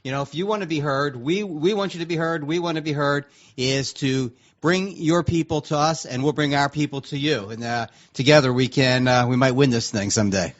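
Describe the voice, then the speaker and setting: A fast-talking man with a fairly high voice speaks as a radio co-host. His style is casual and conversational, on a slightly muffled lo-fi recording. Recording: dull lo-fi radio audio.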